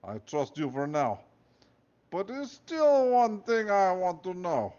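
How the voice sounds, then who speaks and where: A man's voice: high voice, big pitch swings, slow pace, dark tone, highs cut off, pitched above the performer's own voice, full character voice. A man voicing a pompous male Russian prison guard with a heavy put-on Russian accent and broken English. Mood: boastful. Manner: broken English, boastful, theatrical.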